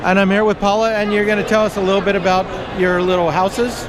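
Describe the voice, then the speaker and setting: A man's voice: high-pitched, level tone, quick pace, over a noisy crowd. A man doing a casual on-the-spot interview, chatty, with joking asides. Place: indoor trade show hall. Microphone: action camera microphone. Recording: noisy trade show floor, camera mic. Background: busy show floor noise.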